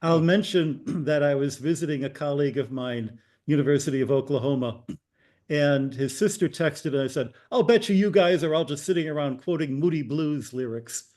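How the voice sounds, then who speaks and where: A man hosting a video call who calls on people by name and often clears his throat. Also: a little high, moderate pace, a little mellow. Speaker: meeting host.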